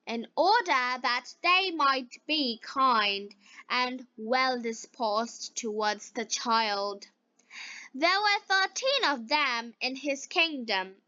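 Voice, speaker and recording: A woman narrating a scripted fairy tale for English beginners, phrasing it deliberately in short, separated chunks. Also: high voice, pushed and bright, no room sound, dull audio. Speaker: storyteller for English learners.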